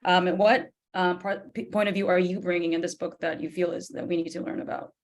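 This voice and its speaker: A hoarse woman on a video call, originally from Japan, introducing a guest and asking polite, thoughtful questions in an academic book talk. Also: fairly low pitch, fairly even intonation, fairly quick. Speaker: discussant.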